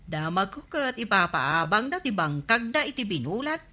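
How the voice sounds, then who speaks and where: A woman's voice: mid-range voice, big pitch swings, moderate pace, sharp tone. An actress with an Ilocano accent playing a querulous, gossipy village woman in a radio comedy drama.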